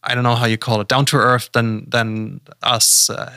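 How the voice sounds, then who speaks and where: A man talking casually on a podcast, halting often with fillers and restarts. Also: mid-range voice, little pitch movement, pushed and bright, clean tone, dry recording, clean studio sound. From Germany. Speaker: podcast guest.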